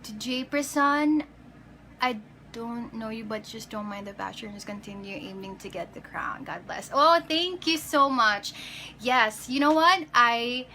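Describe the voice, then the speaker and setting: Bright-voiced Filipino woman chatting on a livestream. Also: fairly high pitch, animated, measured pace, a little hiss or hum. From Philippines. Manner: chatty, greets viewers by name, mixes in Tagalog. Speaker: pageant candidate. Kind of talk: casual livestream chat.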